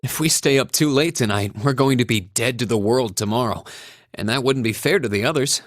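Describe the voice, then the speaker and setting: A man's voice: medium pitch, brisk, dry recording, close to an everyday voice. Man acting an earnest young student, dry close sound. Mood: friendly, sensible. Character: earnest, modest, selfless young male student. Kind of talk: English video game voice acting.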